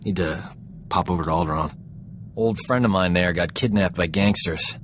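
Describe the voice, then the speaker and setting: A man's voice: sits low, normal speed, a little hiss or hum, natural voice. An actor playing a roguish, flippant combat medic and ladies' man in a video game. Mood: breezy, boastful. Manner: glib, breezy, self-satisfied, flippant.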